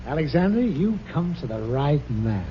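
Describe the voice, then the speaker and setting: Blustery, high-pitched radio boss. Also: male voice, big pitch swings, normal speed, over a noisy crowd. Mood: smug. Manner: gruff, pompous, hammy theatrical delivery. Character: blustery, vain older male boss, married twenty-four years. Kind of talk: 1940s radio comedy acting. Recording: dull, hissy vintage radio recording.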